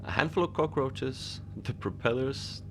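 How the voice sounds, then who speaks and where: A man playing an easygoing, whimsical lone Antarctic station manager, rambling in a conversational, deadpan audio-fiction monologue. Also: medium pitch, a little slow, slight background noise. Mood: deadpan, confiding. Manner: musing, conversational, deadpan about absurd things. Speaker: fiction podcast narrator, lone facility manager at a polar station. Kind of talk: first-person audio fiction monologue, rambling radio log.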